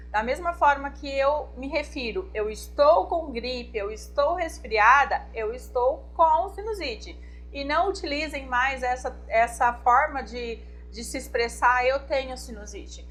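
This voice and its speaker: A woman's voice: high voice, fairly bright tone, slight background noise. A woman doctor from Brazil, explaining a medical condition to viewers in a didactic talk, often checking in with them. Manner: didactic, addresses viewers directly with check-ins. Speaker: infectious disease doctor. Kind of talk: explanatory health talk to camera.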